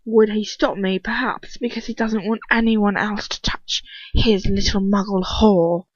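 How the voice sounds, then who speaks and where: A woman's voice: mid-range voice, steady tone, unhurried, no room sound, lo-fi sound, lightly acted. A woman voicing a menacing male wizard villain in a storybook reading, a crude bully with a mocking, hissing delivery. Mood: sneering, menacing.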